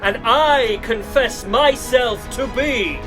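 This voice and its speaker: Evil santa tyrant in a radio play, high pitched for a man, slow pompous speeches, bit of music behind. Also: poor audio. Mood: menacing, grandiose. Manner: pompous, theatrical oratory.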